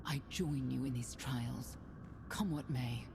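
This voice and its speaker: A woman's voice: rumbling low, slow pace, creaky, exaggerated voice. An actress playing a stern, disgraced woman knight who speaks firmly and with clipped honor. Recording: game audio, reverberant, with hiss.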